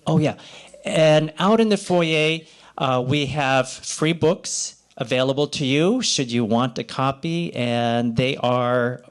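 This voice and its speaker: A man in his sixties speaks as the organizer of an awards ceremony. His remarks are informal thanks, delivered haltingly. He often repeats himself and rephrases mid-sentence. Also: mid-range voice, clean tone.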